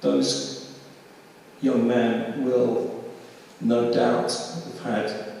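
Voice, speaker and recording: A man giving reflective academic commentary, choosing his words carefully with hesitant pauses. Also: mid-range voice, flat delivery, darkish tone. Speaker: discussion participant. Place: large reverberant room at a public discussion. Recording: echoey hall from far off, faint noise.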